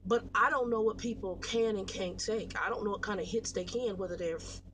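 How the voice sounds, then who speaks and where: An American woman in her late forties talks casually to her viewers, rambling through stories in a blunt, animated, slangy way. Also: mid-range voice, fairly quick, slight background noise. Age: 40s. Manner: blunt, animated storytelling with slangy, salty language. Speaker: truck driver vlogger.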